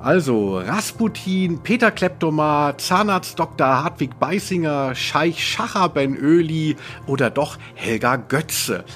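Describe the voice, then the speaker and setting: A man's voice: on the high side, animated, slow pace, few pauses, fairly bright tone, soft background music. A man hosting a podcast quiz in casual chat, teasing and playfully theatrical as he poses questions. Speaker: podcast host and quizmaster.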